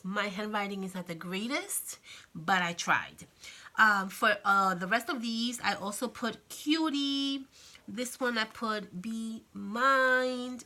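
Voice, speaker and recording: A woman hosting a casual crafting tutorial, chatty and friendly, her voice slightly raspy from a cold. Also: mid-range voice, bright, forceful tone. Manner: chatty, friendly, many fillers and "okay?" check-ins. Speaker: craft channel host.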